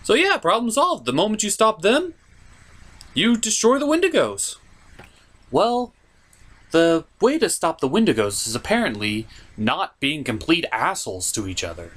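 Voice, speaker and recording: An animated comedy reviewer narrating with a sarcastic edge. The pitch swings widely as the host leans on key words, blunt and sweary. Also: normal speed.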